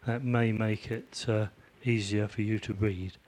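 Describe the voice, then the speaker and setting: A man's voice: fairly low pitch, little pitch movement, a little slow, darkish tone. A man speaking up from the audience at a community meeting, hesitant with many fillers. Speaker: audience member, volunteer who built the website. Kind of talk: meeting discussion.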